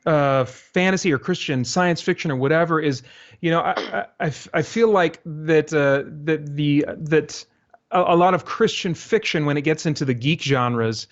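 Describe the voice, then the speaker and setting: A man being interviewed casually as a guest, speaking in a halting way as he thinks aloud. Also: a little high, muffled recording. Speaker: interview guest, audio drama creator.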